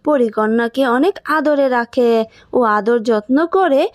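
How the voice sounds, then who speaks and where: A woman's voice: a little high, unhurried, dry recording, studio quality. A woman storyteller reading scripted narration for a children's cartoon.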